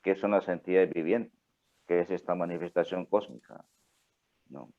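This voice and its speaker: A man teaching a spiritual class in Spanish, explaining slowly and tagging his phrases with rhetorical questions. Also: low voice. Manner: slow, reflective, rhetorical "¿no?" after each phrase. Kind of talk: online class lecture. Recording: phone-like narrow audio.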